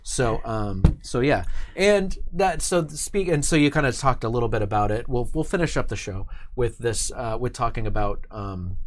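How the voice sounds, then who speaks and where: Male host, casual, medium-pitched voice. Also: animated, moderate pace. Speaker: show host. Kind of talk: casual chat. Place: studio.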